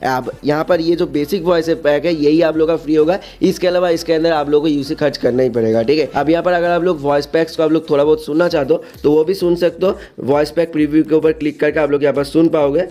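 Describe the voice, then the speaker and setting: A man's voice: a little high, steady tone, fast talker, hardly any pauses, dark tone. A man hosting a gaming video in a casual explainer style, repeating himself with frequent check-in tags and mixing in English words. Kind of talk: casual explainer narration over game screens.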